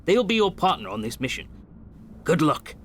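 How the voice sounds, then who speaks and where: Brisk game doctor, slightly high-voiced. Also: male voice, very animated, slight background noise. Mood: brisk, instructive. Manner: brisk, formal, instructive. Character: brisk, encouraging male doctor in sci-fi video game. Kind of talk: video game tutorial dialogue acting.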